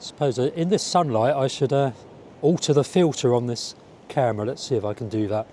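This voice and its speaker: Mellow, slightly croaky man vlogging outdoors. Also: medium pitch, a little fast, slight background noise. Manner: rambling, musing aloud to the viewer. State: slightly croaky from a fading cold. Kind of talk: casual outdoor walking vlog chat. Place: outdoors, walking by a village road and seafront. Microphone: small wireless clip-on mic.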